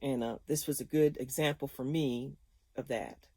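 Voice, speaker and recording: A woman's voice: a bass voice, fairly even intonation, moderate pace, warm tone, slightly rough, no room sound. Woman, fifties, chatty vlogger, laughs a lot, dry close recording. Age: 50s. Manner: chatty and rambling, laughs often. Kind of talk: casual chat to viewers.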